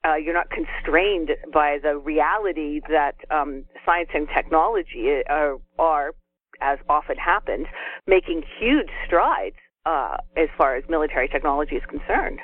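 A woman speaking as a guest author over a call, giving opinions in a measured, emphatic, matter-of-fact way. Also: low voice. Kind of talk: considered opinion in casual discussion. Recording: narrow tinny telephone sound.

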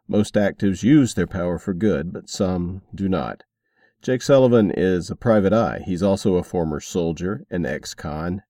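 Deep-voiced man hosting, dry close sound. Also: warm tone, dry recording. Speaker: podcast host. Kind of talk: scripted announcement and recap narration.

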